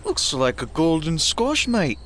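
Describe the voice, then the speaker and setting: A man's voice: a little high, big pitch swings, unhurried, a bit bright. A man playing a cocky, hot-tempered, hard-drinking champion fighter in an audio drama, brash, matey and swaggering.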